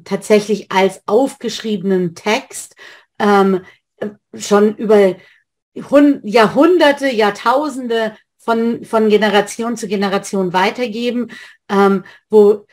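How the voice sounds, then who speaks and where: A woman's voice: mid-range voice, darkish tone. Rabbi lecturing by webcam from a home study, a woman in her thirties, hesitant and full of fillers. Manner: hesitant, many filler sounds, restarts. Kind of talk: online lecture. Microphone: webcam on a video call.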